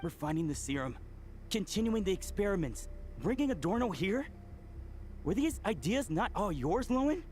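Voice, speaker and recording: Desperate high-voiced male scientist. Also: very animated, darkish tone, slight background noise. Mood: desperate, pleading. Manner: agitated, halting, dramatic. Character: distraught, desperate male scientist, secretive and wounded. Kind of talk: English video game voice acting.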